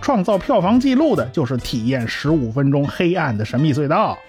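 A man's voice: a little high, sing-song, fairly quick, darkish tone. A man voicing an earnest, learned male scientist in an amateur radio drama, explaining at length in a lecturing way. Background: faint music.

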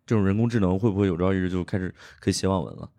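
A man's voice: sits low, fairly even intonation, racing, mellow. A man hosting a live podcast, questioning a guest from the audience with teasing follow-ups. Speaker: podcast host.

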